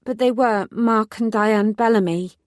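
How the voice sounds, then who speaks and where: A woman's voice: fairly high pitch, a little slow, no echo, studio quality, voice pushed up, lightly acted. A woman voicing a careful, determined young woman police officer in a read-aloud story. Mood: guarded.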